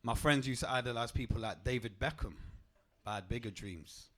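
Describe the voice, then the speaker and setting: A young man, doing a stand-up comedy set on a club stage with a deadpan, punchy delivery. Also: fairly quick. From England. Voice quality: deep voice.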